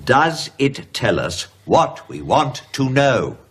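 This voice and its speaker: An exasperated, restless man in a radio play. His husky voice swings widely in pitch, with a sarcastic edge to his exclamations. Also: very animated, slow, a bit bright, exaggerated voice. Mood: irritated. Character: impatient, exasperated man waiting on a scholar's findings. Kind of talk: radio drama acting.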